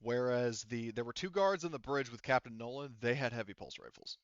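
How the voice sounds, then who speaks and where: A man running a tabletop sci-fi game as game master, explaining haltingly and often circling back to rephrase. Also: mid-range voice, no echo, lo-fi sound.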